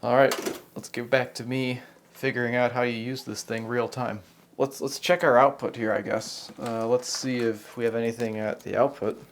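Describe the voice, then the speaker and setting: A man's voice: medium pitch, flat delivery, moderate pace, slight background noise. An American man giving an unscripted, hands-on technical demonstration, talking casually and thinking aloud as he works through it as host. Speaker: host of a repair video channel.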